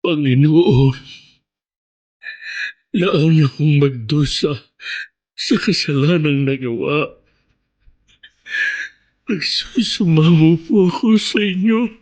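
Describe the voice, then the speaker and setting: A man's voice: fairly high pitch, darkish tone. An actor playing an anguished, repentant man imploring in prayer, in radio drama style. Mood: anguished pleading. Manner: slow, imploring.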